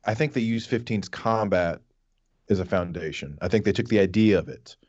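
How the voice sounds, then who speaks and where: A man with a low voice talks games casually and with enthusiasm. The sound is close and dry, slightly muffled, like a podcast panelist on a modest microphone. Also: normal speed, darkish tone, no room sound. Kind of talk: casual chat about games.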